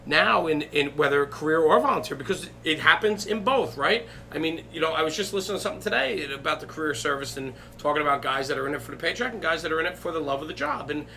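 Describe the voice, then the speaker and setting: Bright-voiced man hosting a casual chat. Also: a little high, expressive, quick pace, hardly any pauses, slight background noise. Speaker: podcast host.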